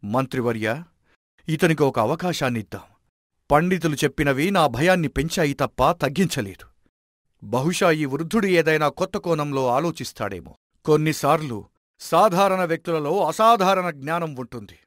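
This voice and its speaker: An anxious king in a moral tale, voiced by a man. His pitch is high for a male voice and he speaks formally, full of doubt and questions. The recording is dry and close. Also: animated, normal speed, a bit bright, dry recording. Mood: searching, commanding. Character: anxious king troubled by a nightmare, doubting, searching for hope. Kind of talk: dramatized moral storytelling.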